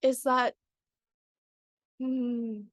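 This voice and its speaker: A woman from Vietnam, a writer and panel guest, speaking thoughtfully and haltingly in a conversational discussion. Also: sits high, little pitch movement, no room sound, studio quality.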